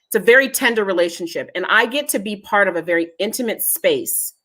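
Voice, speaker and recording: A woman's voice: mid-range voice, expressive, brisk, a bit bright. Female guest, earnest and reassuring, explains a genealogy search service in plain talk. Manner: earnest, reassuring, advice-giving. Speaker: genealogist and foundation head, interview guest. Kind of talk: casual interview talk.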